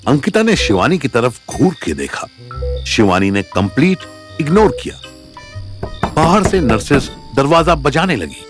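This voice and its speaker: A man's voice: medium pitch, big pitch swings, measured pace. A man narrating a scripted audio story in a dramatic storytelling style. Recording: music under the narration.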